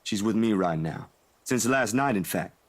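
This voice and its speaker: A man's voice: fairly low pitch, lively intonation, normal speed. A man acting a laid-back, caring young man in a visual novel, speaking casually and a little hesitantly. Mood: earnest, apologetic.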